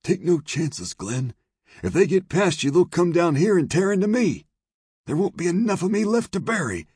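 Anxious Old West saloon keeper: high, raspy, cranky, pleading drawl, close dry sound. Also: male voice, sing-song, moderate pace, a little mellow, no echo, heavily acted. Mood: agitated. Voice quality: raspy, high-pitched strained voice. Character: frightened, cranky older saloon owner, male. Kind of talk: western audiobook character dialogue.

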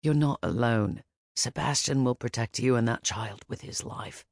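A woman voicing a gentle, protective older man who speaks softly and calmly, with a firm edge. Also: medium pitch, normal speed, no echo, clean studio sound, close to an everyday voice. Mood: gentle, fatherly. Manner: soft, calm and fatherly.

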